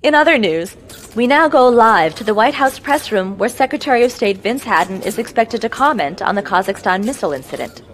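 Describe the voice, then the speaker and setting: A woman playing a composed TV news anchor in a video game, reading scripted news in a formal, measured way. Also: fairly high pitch, animated, lightly acted. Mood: formal, serious. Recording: music bed behind the voice.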